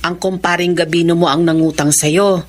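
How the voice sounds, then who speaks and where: A woman's voice: lowish voice, steady tone, moderate pace, natural voice. A woman playing a harried, evasive mother and nagging partner in a radio drama. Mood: nagging, worried. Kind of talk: Tagalog radio drama acting.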